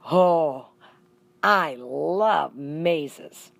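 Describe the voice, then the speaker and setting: A woman voicing a small, chatty, curious pet animal who tells a children's story in a musing, expressive way. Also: low voice, very slow, creaky, dry recording, heavily acted.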